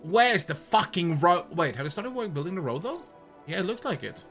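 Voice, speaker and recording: A man's voice: high-pitched, big pitch swings, moderate pace, fairly bright tone, soft background music. A man streaming a video game, chatting casually and reading game text aloud in a joking way. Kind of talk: casual gaming commentary.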